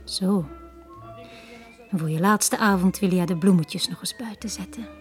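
An actress playing a tired, forward harbour bar hostess in a radio drama, chatty and coaxing. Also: female voice, lowish voice, measured pace, faint music behind, lightly acted. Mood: coaxing, weary. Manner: chatty, coaxing, plain-spoken. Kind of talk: 1980 radio drama acting.

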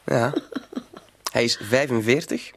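A shady hustler in a radio drama. His mid-pitched voice has a gravelly creak, and he talks in a coaxing, insinuating way, hesitating now and then, with quiet menace underneath. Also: slow, exaggerated voice. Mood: coaxing, scheming. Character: sly shady small-time crook, coaxing, quietly threatening. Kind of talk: Dutch-language radio play acting.

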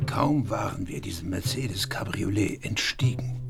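A man's voice: deep voice, a little slow, creaky, over loud music, heavily acted. An actor playing a male dignitary who recounts a past visit in a storytelling manner. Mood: recounting. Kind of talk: radio play narration.

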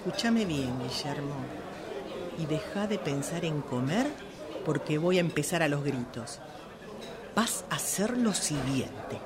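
A woman's voice: sits low, expressive, a little slow. Woman doing a bossy argentine girlfriend in radio comedy, dramatic, sarcastic, voice all over the place, busy crowd behind. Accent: Rioplatense Argentine. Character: bossy, theatrical, menacing jilted girlfriend dining out.

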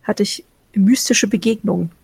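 A woman with a somewhat husky voice introduces her role-playing character, hesitant and full of fillers, with light jokes. The sound is dry and close, with no room around it. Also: medium pitch, normal speed, a little mellow, no room sound. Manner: hesitant, many fillers, joking. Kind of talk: casual chat.

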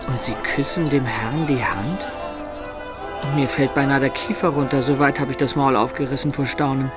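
An actor as a roguish, reflective storyteller. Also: male voice, medium pitch, fairly even intonation, normal speed, over loud music, natural voice. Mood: wry storytelling. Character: roguish storyteller of peasant birth recalling his life. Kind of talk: radio drama narration.

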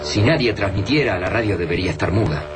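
An actor playing a wary, protective survivor of a catastrophe in a radio drama, with an Argentine accent and an urgent, reasoning manner. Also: male voice, low voice, expressive, quick pace, music in the background. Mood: puzzled, serious.

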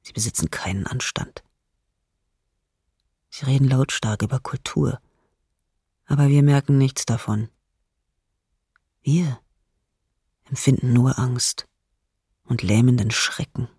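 A woman playing a bitter, frightened diarist under occupation, with a husky, breathy voice, in a radio docudrama. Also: a bass voice, warm tone, no echo, clean studio sound, exaggerated voice. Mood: bitter, disgusted. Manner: slow, halting, sometimes near whisper. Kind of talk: intimate radio docudrama monologue.

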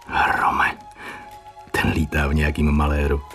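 Low-pitched male writer, radio drama, curious and wry, muttering fragments and asides to himself. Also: monotone, a bit bright. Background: faint music.

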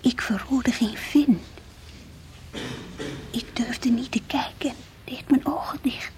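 An actress playing an earnest, devout, brooding schoolgirl in a radio drama, confiding intensely in a husky, breathy voice. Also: on the high side, takes it slowly, a little mellow, whispered. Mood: hushed, awed. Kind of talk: radio drama acting with diary-like narration.

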